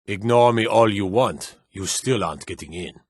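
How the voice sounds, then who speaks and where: A man voicing a stern, dutiful fantasy city guard in a video game, speaking in a gruff, formal, clipped way. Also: lowish voice, moderate pace, no echo, studio quality. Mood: stern, sardonic. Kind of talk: scripted game character dialogue.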